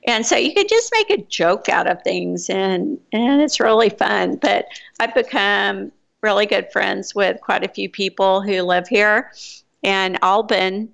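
Woman, Texas accent, casual expat vlogger, gravelly fry, chatty and rambling. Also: mid-range voice, a little slow, a bit bright. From United States. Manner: chatty, rambling with fillers and restarts. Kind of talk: casual chat.

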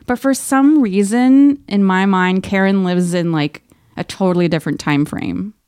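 A woman's voice: medium pitch, moderate pace, darkish tone, smooth, no room sound, studio quality. Woman hosting a casual book podcast. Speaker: podcast co-host. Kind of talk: casual book club chat, reading listener letters.

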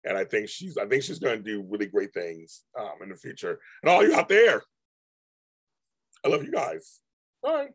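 A man talks casually to his live-stream viewers, his voice hoarse and husky. He rambles, repeats himself and restarts his sentences often. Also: on the low side, huge pitch swings, normal speed, pauses often. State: hoarse, voice going out. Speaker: livestream host.

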